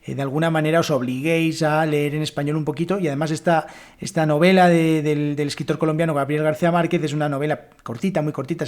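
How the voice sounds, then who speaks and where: A man's voice: on the high side, darkish tone. A man from Spain with a Spanish accent, hosting a solo podcast lesson in a chatty way, with frequent fillers and restarts. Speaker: Spanish teacher and podcast host.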